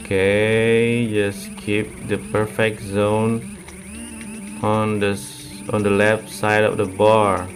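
A man's voice: on the low side, level tone, slow. A man with an Indonesian accent gives casual gameplay commentary, chatting informally to his viewers. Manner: informal, addresses viewers as "guys". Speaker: gaming video creator. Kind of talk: casual gameplay commentary, mixing two languages. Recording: voice over background game music. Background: music.

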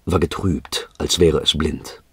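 A man narrating a fantasy audiobook dramatically, with expressive, suspenseful storytelling. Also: rumbling low, unhurried, slightly rough, dry recording, clean studio sound.

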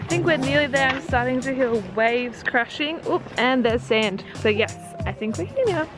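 An upbeat young woman talks casually to her camera held at arm's length as she hikes outdoors. Her voice sits a little high and she sounds a bit breathless from the climb. Loud background music runs under her voice. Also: expressive, moderate pace. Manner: chatty, upbeat, breathless from hiking. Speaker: travel vlogger. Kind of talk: casual travel vlog. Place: outdoors on a coastal bush trail. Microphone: handheld camera at arm's length.

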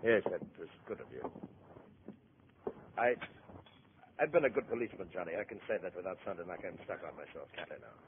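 A man's voice: sits low, lively intonation, fairly quick, pauses often. An actor playing a proud, troubled middle-aged beat policeman in a 1950s radio drama, brooding and folksy in his speech. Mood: weary, defensive. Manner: brooding, folksy turns of phrase. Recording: muffled, noisy 1950s radio recording.

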